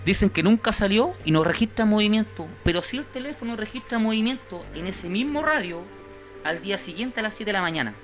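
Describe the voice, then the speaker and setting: A man's voice: high voice, lively intonation, brisk, a bit bright, faint music behind. A man in his thirties with a Chilean accent, a guest in a studio interview, talking insistently and indignantly, often repeating words as he searches, into a handheld mic. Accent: Chilean Spanish. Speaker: guest, victim's brother. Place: small indoor studio.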